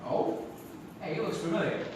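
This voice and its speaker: An actor playing a friendly, polite, hesitant police officer in a comic stage play. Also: male voice, moderate pace, close to an everyday voice. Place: small theatre, live performance. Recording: echoey, noisy stage recording from far off.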